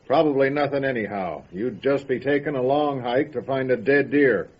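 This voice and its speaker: A gruff male mountain hermit, played by a man with a husky, rasping voice, curt and unwelcoming. It is radio drama acting in a dull, muffled recording. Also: medium pitch, normal speed, lightly acted. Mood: surly.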